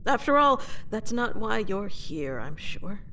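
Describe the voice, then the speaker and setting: Woman acting a slow-speaking haughty captured queen. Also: mid-range voice, very animated, bright, forceful tone, slight background noise, heavily acted. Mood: bitter. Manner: dry, mocking, theatrical, formal phrasing. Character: proud captured fantasy queen, sardonic, haughty, bitterly sparring. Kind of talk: scripted dramatic roleplay audio.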